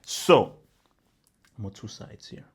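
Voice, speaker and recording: A young man from Nigeria who hosts a football channel, giving casual, emphatic commentary, often saying "bro" and stacking up exaggerations. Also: slow. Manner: animated and emphatic, repeats "bro", spirals into exaggeration.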